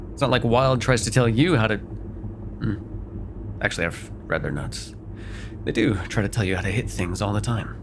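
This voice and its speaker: A man playing a sarcastic vampire with a soft spot in an ASMR roleplay, talking in a teasing, conversational way with sighs and hesitations. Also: on the low side, creaky, noisy background. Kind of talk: immersive ASMR roleplay acting.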